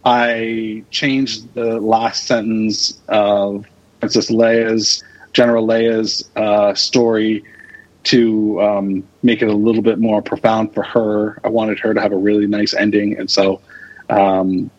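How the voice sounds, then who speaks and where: A man giving casual interview answers as a podcast guest, thinking aloud in halting fragments. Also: fairly low pitch, level tone. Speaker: podcast guest, radio drama creator and producer. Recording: dull lo-fi audio.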